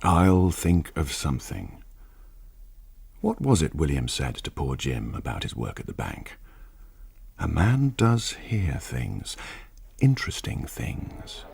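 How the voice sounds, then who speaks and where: A man's voice: rumbling low, huge pitch swings, slow, gravelly, darkish tone, slight background noise, exaggerated voice. An actor playing a sly, knowing male narrator of a crime tale, wry and ominous in dramatic scripted storytelling. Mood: insinuating.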